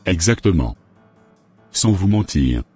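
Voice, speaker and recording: A man voicing a matter-of-fact hospital doctor in a cartoon, with a husky voice and measured delivery. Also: deep voice, sing-song, darkish tone, exaggerated voice. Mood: calm, frank. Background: faint music.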